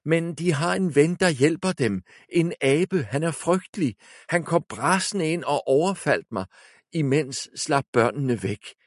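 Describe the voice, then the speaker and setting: A sly woman servant who flatters her master and mocks him behind his back, put on by a man reading a storybook. The voice is pushed well above his natural range and sounds fawning and sly. The recording is dry and close. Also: a little high, no room sound, pitched above the performer's own voice. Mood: anxious. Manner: fawning, then whispering scorn.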